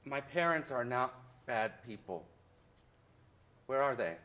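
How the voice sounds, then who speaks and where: A mid-pitched man an actor as a wounded professor in a stage monologue. He recalls his childhood with deliberate, reflective storytelling, like someone reliving a loss. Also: very animated, bright, forceful tone, exaggerated voice. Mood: pleading, childlike. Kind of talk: dramatic monologue in a staged reading.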